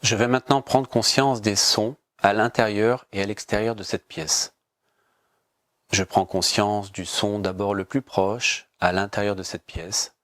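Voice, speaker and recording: A man with a fairly low voice guides a relaxation practice like a yoga teacher. His delivery is calm and measured, with repeated, simple instructions. The sound is dry and close, with little room on it. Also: dry recording.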